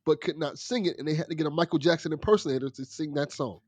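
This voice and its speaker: A man with a slightly high voice talks casually as a podcast guest. He sounds close and dry, with hardly any room around the voice. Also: quick pace, darkish tone, no echo. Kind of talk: casual chat.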